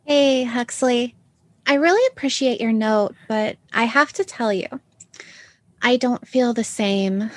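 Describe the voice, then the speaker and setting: A woman's voice: a little high, a little slow, lightly acted. A woman playing a frank, self-possessed schoolgirl in a comedy radio play, earnest and polite but blunt. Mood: politely firm.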